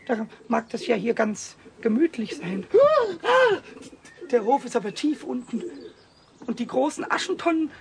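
A man voicing a cheeky, excitable little kobold in a children's radio play, squeaky and shrill, stammering and repeating words. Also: creaky, heavily acted.

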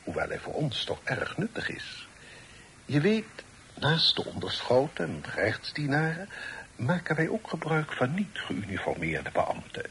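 Casting: a worldly, formal middle-aged father in radio drama, measured yet very varied in intonation, faint noise on an old recording. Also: fairly high pitch, huge pitch swings, a bit bright, full character voice, low-quality recording. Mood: confidential, explanatory. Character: authoritative, worldly father advising his grown son. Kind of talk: Dutch radio play acting.

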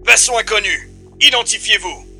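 A man's voice: sits high, normal speed, gravelly, loud music behind the voice, rough recording. A man playing a curt, stern checkpoint guard hailing ships in a French sci-fi audio drama. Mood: curt, forceful. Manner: curt, official.

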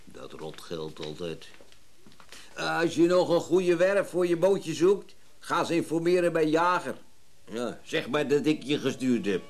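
A man's voice: a little high, animated, measured pace. An actor playing a grumpy, stubborn older tradesman in a Dutch radio drama, blunt and sardonic. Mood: resigned, gruff.

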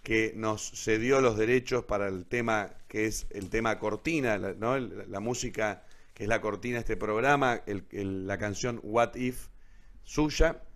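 Male host, Argentine accent, casual live show delivery, halting and self-correcting, wanders as he talks. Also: fairly low pitch, fairly bright tone. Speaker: host of an online radio theatre show.

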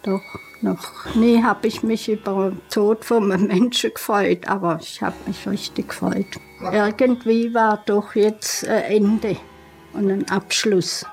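A woman's voice: mid-range voice, steady tone, a little slow, darkish tone, faint music behind, poor audio. A woman giving personal recollections in an informal interview. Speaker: interviewed witness.

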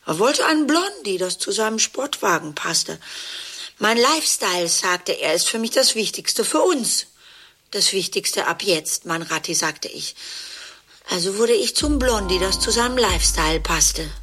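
A woman's voice: mid-range voice, animated, unhurried, pushed and bright, breathy edge, exaggerated voice. An actress in a radio play, playing a chic, ironic woman married to a dentist, speaking in a wry, drawling way. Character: chic ironic wife of a dentist, half-sister. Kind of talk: radio play monologue and dialogue.

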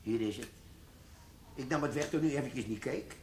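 A sly, boastful army sergeant in his sixties, played by a man, scheming to get into a vault. He stammers and chuckles at his own cleverness. The radio-play recording carries a steady hiss of noise. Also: mid-range voice, moderate pace, echoey room, lightly acted. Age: 60s.

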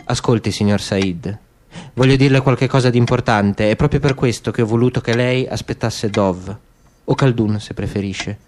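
A man's voice: mid-range voice, normal speed, a little mellow, slight background noise, lightly acted. Man voicing an earnest, troubled elderly woman. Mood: grave, measured.

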